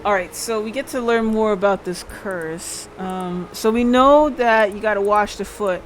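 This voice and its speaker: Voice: medium pitch, unhurried, over music, low-quality recording. A game streamer reading a visual novel aloud as dramatic narration, sometimes stumbling and rereading.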